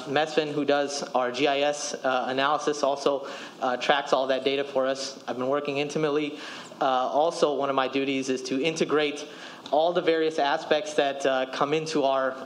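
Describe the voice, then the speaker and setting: A man's voice: medium pitch, slight background noise, poor audio. A man in his thirties, a city department official, giving a businesslike report at a podium microphone in a council chamber. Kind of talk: public presentation at council meeting.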